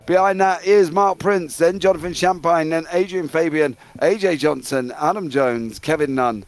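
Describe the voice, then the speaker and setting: A man with a British accent calling a live sim racing broadcast, excitable play-by-play that rattles off driver names. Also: a little high, normal speed. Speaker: race commentator.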